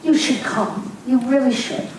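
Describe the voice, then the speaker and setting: A woman's voice: high voice, measured pace, a little mellow. An older woman acting a spirited young Irish nationalist in an amateur stage reading, earnest and needling. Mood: fervent. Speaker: community theater actor. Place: community stage hall. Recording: echoey hall, mic far off, faint hiss.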